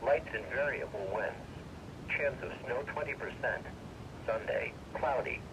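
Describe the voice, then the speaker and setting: A man's voice: mid-range voice, unhurried. A male weather radio announcer with a synthesized, formal and impersonal delivery, reading a scripted forecast over the radio. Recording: noisy, distant-sounding weather radio broadcast.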